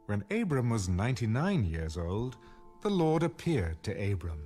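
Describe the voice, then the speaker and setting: A man narrating scripture in a measured, solemn storytelling style, as for a dramatized audio Bible. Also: on the low side, very animated, dark tone, slightly rough. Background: background music.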